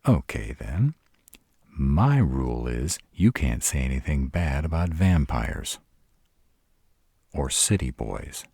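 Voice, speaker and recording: A man's voice: a bass voice, sing-song, gravelly, warm tone, breathy edge, dry recording, clean studio sound, full character voice. A man playing a sarcastic, brooding male vampire in an intimate roleplay audio drama, proud and guarded but secretly smitten. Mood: grumbling, sardonic. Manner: sardonic, exasperated, halting when vulnerable.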